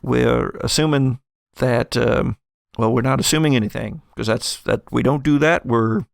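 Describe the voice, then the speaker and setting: A man puts on a bumbling small-town deputy for an audio-drama game. He stammers and doubles back, unsure of himself. The voice is creaky, close and dry. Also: medium pitch, steady tone, normal speed, no echo, close to an everyday voice. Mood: flustered, polite.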